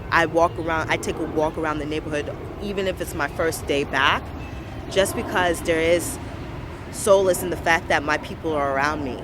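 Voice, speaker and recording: A young woman from Brooklyn, speaking earnestly and passionately about her community in a personal interview, repeating words for emphasis. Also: low voice. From Brooklyn, New York, USA. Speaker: interviewee, local business owner. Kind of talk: personal reflective testimony. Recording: street recording with traffic noise.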